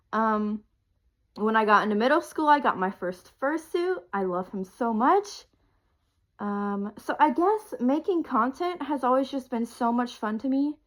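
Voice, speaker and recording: Sniffly young woman chatting casually. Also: fairly high pitch. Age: 20s. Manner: chatty, rambling with frequent ums and laughs. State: getting over a cold, sniffly. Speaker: content creator. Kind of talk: casual video chat.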